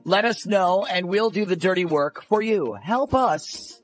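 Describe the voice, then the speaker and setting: A man's voice: high-pitched, measured pace, soft background music, poor audio. A man hosting a radio show, bantering playfully with a caller.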